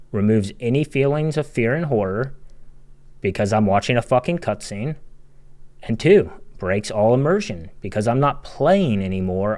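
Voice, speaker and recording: A man's voice: mid-range voice, darkish tone. A man giving opinionated, analytical commentary as a video game critic, talking in an emphatic, conversational way.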